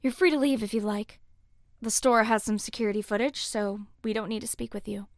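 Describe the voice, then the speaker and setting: Audio drama cop, acted by a woman: candid, no-nonsense female officer, raised pitch, dry sound. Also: brisk, dry recording, lightly acted. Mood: questioning.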